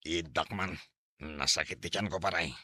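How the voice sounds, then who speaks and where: A man with an Ilocano accent playing an irresponsible, evasive, hard-drinking father in a comedy drama, complaining in drawn-out excuses. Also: low voice, sharp tone, no echo, studio quality.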